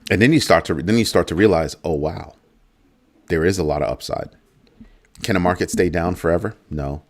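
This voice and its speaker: A man with a very low, deep voice hosts a casual crypto livestream. He is middle-aged and talks loosely to his viewers, repeating phrases and tossing in playful asides. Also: a little fast. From United States. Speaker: crypto show host.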